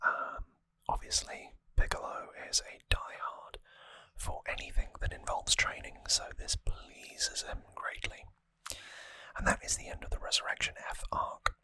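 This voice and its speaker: An ASMR host whispering breathily and close to the microphone, explaining things softly and informally with some hesitation. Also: measured pace, no room sound, rough recording. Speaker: host of a trivia ASMR show. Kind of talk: ASMR whispered episode recap.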